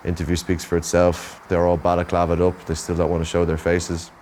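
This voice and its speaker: A man's voice: low-pitched, completely flat delivery, a little fast, darkish tone, a little hiss or hum. An Irish man narrating his own documentary in a casual, reflective voice-over, often adding "you know". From Ireland. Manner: casual and conversational, with many "you know" fillers. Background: music under the narration.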